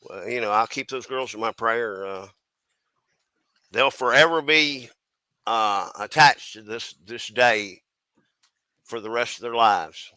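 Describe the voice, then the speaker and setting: A man from the US East Coast chatting casually as a stream panelist, giving his opinions in a halting, folksy way. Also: medium pitch, pushed and bright, clear, resonant tone, dry recording. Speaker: panelist on a crime chat stream.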